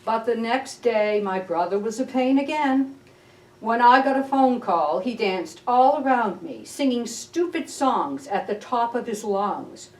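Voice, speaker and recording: A woman reading a children's picture book aloud as a library storyteller, with expressive, deliberate delivery. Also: fairly high pitch. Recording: roomy echo, distant mic.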